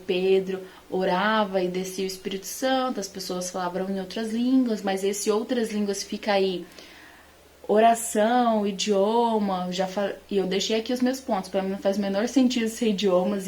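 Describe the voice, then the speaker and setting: A woman's voice: medium pitch, darkish tone. A Brazilian woman teaching the Bible informally to online followers, conversational and emphatic in her delivery.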